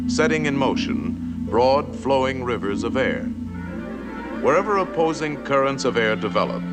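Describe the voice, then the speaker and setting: Animated male narrator over music. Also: mid-range voice. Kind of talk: scripted narration of an animated science film.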